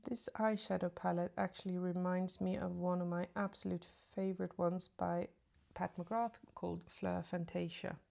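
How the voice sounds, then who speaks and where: A woman chatting casually through a makeup tutorial, speaking softly and often half-whispering, with a slight cold and a sore throat. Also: fairly low pitch, flat delivery, normal speed, vocal fry. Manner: soft, often half-whispered asides while applying makeup. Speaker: beauty vlogger. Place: at home in front of a mirror.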